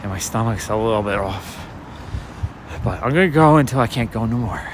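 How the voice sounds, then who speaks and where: A man with a raspy voice, chatting softly and casually to livestream viewers as he walks a city street at night, recorded on a handheld phone. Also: lowish voice, animated, fairly quick. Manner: casual, chatty, talks to viewers while walking. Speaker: walking vlogger and livestreamer. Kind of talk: soft-spoken rambling walk-and-talk commentary. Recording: street noise and passing traffic. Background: city street ambience and vehicles.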